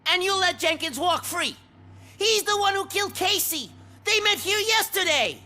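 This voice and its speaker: A woman's voice: extremely high pitch, animated, normal speed, sharp tone, heavily acted. A woman playing an urgent, defensive crime suspect in a video game. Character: frantic, pleading woman pimp accused of murder. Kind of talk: video game cutscene acting.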